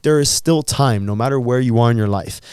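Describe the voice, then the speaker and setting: Earnest man in his thirties, smooth voice. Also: mid-range voice, fairly quick, darkish tone, no echo, clean studio sound. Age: 30s. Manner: earnest, encouraging, repeats words when thinking. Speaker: podcast co-host. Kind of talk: casual motivational chat.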